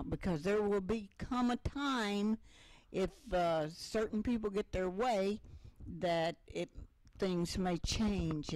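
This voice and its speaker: A woman's voice: medium pitch, a little mellow, dry recording, rough recording. An older woman hosting a talk show, chatting in a rambling way with many fillers. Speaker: host.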